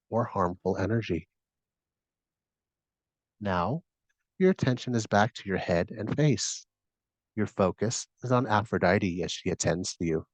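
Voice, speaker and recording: A man narrating a scripted guided meditation as the guide, giving instructions in a soothing, measured manner. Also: lowish voice, dry recording, highs cut off.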